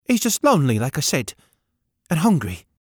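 A man's voice: a little high, wildly swooping pitch, normal speed, a little mellow, slightly rough, no room sound, studio quality, voice pushed up, exaggerated voice. A man reading an audiobook, voicing a lonely, earnest young boy who pleads in ungrammatical country speech. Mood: eager.

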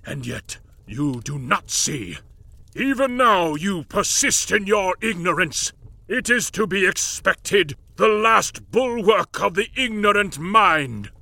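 A man voicing a commanding male lord in a spoken horror tale, declaiming slowly and gloating. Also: high-pitched, expressive, vocal fry, sharp tone, no room sound, full character voice. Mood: lofty scorn.

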